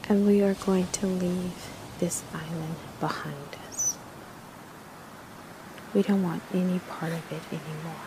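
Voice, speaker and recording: A woman narrating a guided meditation in a soothing, hushed manner, with breathy phrase endings and long pauses between short phrases. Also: on the low side, fairly even intonation, noisy background.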